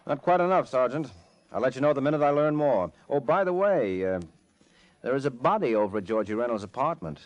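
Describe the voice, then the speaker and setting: Actor as a fast, hard-boiled private eye. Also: male voice, medium pitch, expressive, dull audio, natural voice. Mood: brisk. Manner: sardonic, clipped, hard-boiled. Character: tough, wisecracking male private detective, cool and unflappable. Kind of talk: 1940s radio drama acting. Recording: old-time radio recording.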